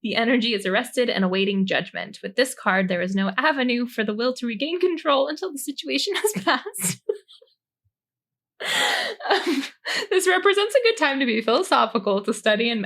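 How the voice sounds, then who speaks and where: A woman's voice: on the high side, fairly bright tone. A woman co-hosting a podcast, giving a tarot reading in an informal, joking way and reading card meanings aloud.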